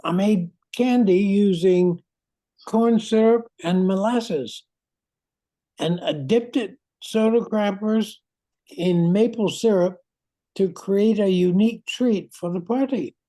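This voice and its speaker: An older woman playing a steady retired scientist in an amateur radio play, measured and reassuring. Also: mid-range voice, rough recording. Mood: friendly, proud. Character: retired scientist, steady, civic-minded candy maker. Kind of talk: amateur old-time radio play acting.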